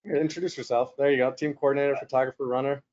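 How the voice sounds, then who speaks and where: A man hosts a webinar over a video call, chatty and joking, with lots of fillers along the way. His voice sits mellow and dark. The audio comes through slightly muffled. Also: mid-range voice, steady tone, quick pace. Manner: joking, rambling with frequent fillers. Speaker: webinar host and moderator. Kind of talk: casual, chatty webinar hosting.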